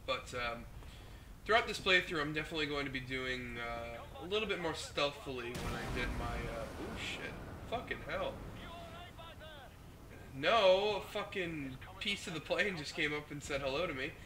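A man's voice: on the high side, lively intonation, normal speed. Sick young man swearing through gameplay. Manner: profane, reacts out loud to the game. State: sick with the flu, hoarse. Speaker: gaming host. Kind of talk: casual gameplay commentary. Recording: noisy home mic recording.

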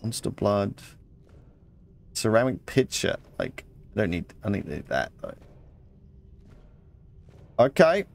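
A man's voice: on the low side, huge pitch swings, slow, vocal fry, long pauses. A man giving casual let's play commentary, muttering his thoughts aloud and reading out item text.

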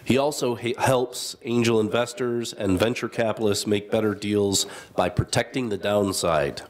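A man moderating a business panel, reading out speaker introductions in a measured, formal way with small asides. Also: lowish voice, fairly even intonation.

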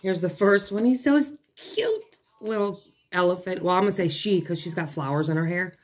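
A woman with a hoarse, failing voice chatting casually at her dining table as she unboxes craft kits, rambling and breaking off mid-thought. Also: on the low side, moderate pace, a little mellow. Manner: rambling, chatty, self-interrupting. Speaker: craft vlogger. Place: home dining room, seated at a table.

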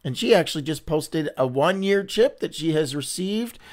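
A man's voice: a little high, lively intonation, moderate pace, a little mellow. A man hosting a casual livestream Bible reading, chatty and upbeat, greeting viewers by name, with a runny, stuffy nose.

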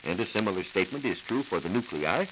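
A low-voiced American man lectures on science in the formal manner of 1940s radio. His delivery is measured and didactic, with lively rises and falls. The old transcription disc hisses and crackles clearly. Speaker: science reporter.

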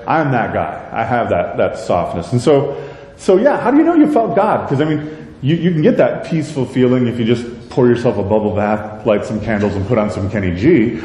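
A middle-aged man with a deep voice preaching a sermon in a church, conversational and self-deprecating, with many rhetorical questions. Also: big pitch swings, moderate pace, warm tone. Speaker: pastor. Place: church sanctuary with a stage. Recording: echoey church hall, light rumble.

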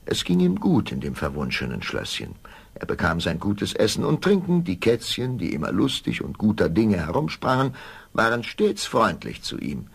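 A man's voice: lowish voice, huge pitch swings, normal speed, gravelly, breathy edge, exaggerated voice. A man narrating a fairy tale as the storyteller of a scripted radio play. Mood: storytelling.

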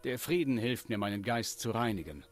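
A man's voice: medium pitch, lively intonation, a little fast, soft background music, lightly acted. A man voicing a serene, courteous elf in a fantasy video game, speaking formally. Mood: serene, welcoming. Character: serene, courteous male elf visiting a druid grove. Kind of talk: scripted game dialogue.

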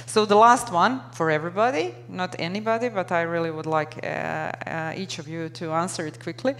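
A woman's voice: lowish voice, moderate pace. Woman moderating a conference panel. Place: conference stage before an audience.

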